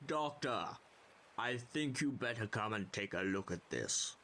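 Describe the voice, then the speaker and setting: A man playing a formal, old-fashioned military Brigadier in an audio drama, with a dry, measured manner. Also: lowish voice, lively intonation, sharp tone, exaggerated voice. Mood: concerned.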